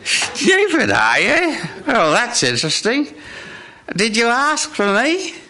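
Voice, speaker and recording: A man voicing a weak, slyly curious old wolf near death in a live storytelling show, halting and drawing out his questions. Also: very high voice, huge pitch swings, sharp tone, voice pushed up, exaggerated voice. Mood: sly interest. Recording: live radio studio recording, noisy.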